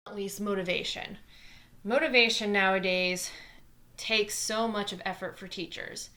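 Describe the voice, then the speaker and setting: Fast-talking New Jersey woman teacher. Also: mid-range voice, fairly even intonation, bright, forceful tone. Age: 20s. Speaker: math teacher. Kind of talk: scripted lesson narration.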